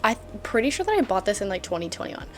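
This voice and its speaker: A woman's voice: medium pitch, big pitch swings, fast. Bubbly twenty-year-old woman, casual vlog chat to camera, joking and full of fillers, faint music behind. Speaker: vlogger.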